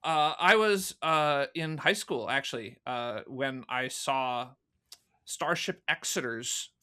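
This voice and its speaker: Male podcast guest, thirties, crisp forward tone, casual storyteller who voices his own remembered thoughts. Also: mid-range voice, takes it slowly, bright, forceful tone. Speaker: podcast guest, audio drama producer.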